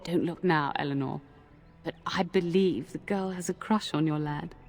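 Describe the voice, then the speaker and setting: A woman's voice: low voice, normal speed, a little mellow. An actress playing a gracious, flirtatious middle-aged noblewoman in a fantasy game. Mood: teasing. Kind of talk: scripted game voice acting. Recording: music under the voice.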